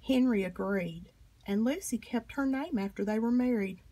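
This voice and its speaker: A woman reading a children's picture book aloud with expressive, emphatic phrasing. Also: on the high side, moderate pace, slight background noise. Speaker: storybook reader.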